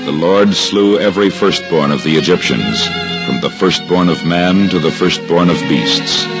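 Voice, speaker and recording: A very deep-voiced, devout husband looks back on sacred days in a solemn, measured, formal manner. The sound is a dull 1950s radio drama recording with prominent music behind him. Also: male voice. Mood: reverent.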